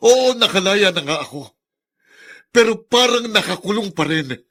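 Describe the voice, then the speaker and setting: A man playing a middle-aged ex-convict father in a Filipino radio drama, halting, emotional and self-sacrificing as he pleads. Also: high-pitched, lively intonation, a bit bright. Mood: anguished pleading.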